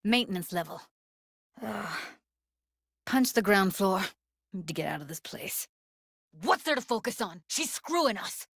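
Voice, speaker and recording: A woman's voice: mid-range voice, animated, a little slow, pauses often, a bit bright, breathy edge, no echo, clean studio sound, heavily acted. A woman voicing a young, streetwise techie in a video game, blunt and guarded with tenderness underneath, speaking in casual, clipped slang. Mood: frustrated, urgent. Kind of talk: naturalistic video game voice acting.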